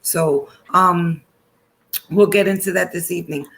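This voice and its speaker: A man's voice: high-pitched, steady tone, unhurried, gravelly, fairly bright tone. A man hosting a casual panel chat, upbeat and encouraging, signing off with blessings. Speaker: show host.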